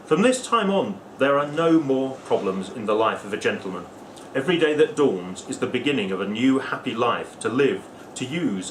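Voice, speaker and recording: A man speaking at a book launch, chatting casually with many fillers and restarts, then reading essay passages aloud. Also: on the low side. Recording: echoey room with background noise.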